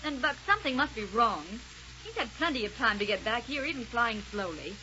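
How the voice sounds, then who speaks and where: A cautious, capable space adventurer in an old radio serial. Her voice is high and earnest. The 1930s recording sounds dull with faint noise. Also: big pitch swings, fairly quick, pushed and bright. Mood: worried. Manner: questioning, earnest.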